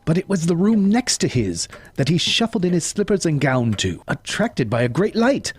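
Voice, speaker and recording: A man giving dramatic, expressive scripted narration for an audio drama. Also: on the high side, normal speed, slightly rough.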